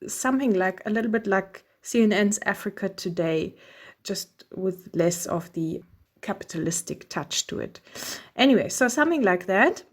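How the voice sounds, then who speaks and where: A woman with a medium-pitched voice lays out an idea in informal words. She sounds like an academic contributor thinking aloud. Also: lively intonation, moderate pace.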